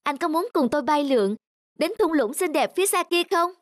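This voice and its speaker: A woman's voice: sits high, lively intonation, brisk, slight background noise. A woman voicing a friendly, encouraging young female parrot in a cartoon dub over music. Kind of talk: cartoon dubbing. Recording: loud music under the voice.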